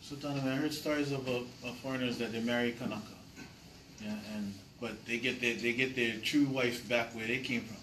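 A man's voice: medium pitch, fairly even intonation, brisk, a bit bright, a little hiss or hum. A man in the audience asks a rambling, hesitant question. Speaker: audience member. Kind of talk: asking a question. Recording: echoey room from far off.

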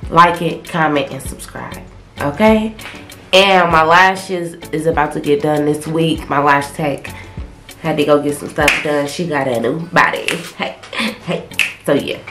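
A woman's voice: on the low side, a bit bright, reverberant. A young woman from Ohio with a stuffy, congested cold voice, chatting casually to camera while telling a story. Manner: loose, slangy, talkative, gestures a lot. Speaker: vlogger. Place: small bedroom in front of a pink wall. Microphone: camera a few feet away.

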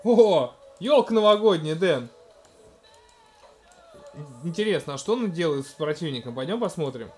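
A man in his thirties casually commenting on a video game in a gentle, coaxing way, talking to a small child beside him at home. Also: a little high, expressive, moderate pace, pauses often, soft background music. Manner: gentle, coaxing, talks to the child, narrates what is on screen. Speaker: let's-play host playing with his toddler.

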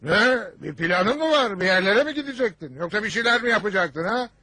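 A man's voice: high-pitched, lively intonation, brisk, bright, forceful tone, full character voice. A man playing a grumpy, stingy middle-aged father in a dubbed cartoon, scolding and sarcastic. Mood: teasing, needling.

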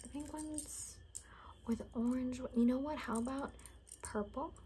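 A young woman doing an ASMR roleplay in soft, half-whispered, gossipy rambling chat. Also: high voice, fairly even intonation, moderate pace, a little hiss or hum. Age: young adult. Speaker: ASMR performer. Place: small bedroom, indoors.

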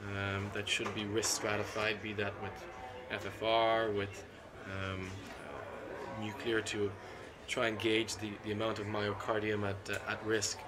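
A middle-aged man, a cardiologist being interviewed at a conference, talks in a measured, analytical way with frequent ums and restarts. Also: on the low side, completely flat delivery, a crowd in the background. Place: seated at a conference booth indoors. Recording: constant conference hall ambience.

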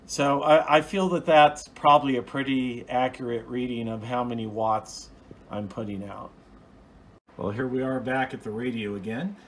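A man's voice: medium pitch, steady tone, normal speed, a bit bright, a little hiss or hum. A man giving an informal, hands-on explanation as a hobbyist video host, plain-spoken and methodical, recorded on a phone at home. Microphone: phone, off camera.

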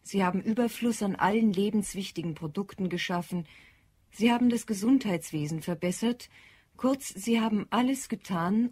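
A woman's voice: medium pitch, a little mellow, slightly rough. A woman narrating an audio drama from a script in a sober, formal manner.

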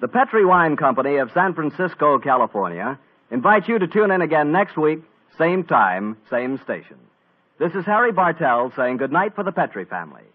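A young male radio announcer with an upbeat, smooth 1940s commercial delivery. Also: a little high, big pitch swings, normal speed. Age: young adult. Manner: upbeat, smooth salesman patter. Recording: tinny old radio broadcast.

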